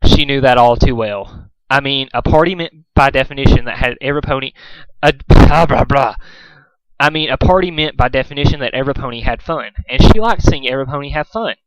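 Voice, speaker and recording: A man's voice: medium pitch, a little fast, dry recording, rough recording. A man narrating a story aloud as an amateur reader, sometimes stumbling over words and rereading. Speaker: amateur fan fiction reader.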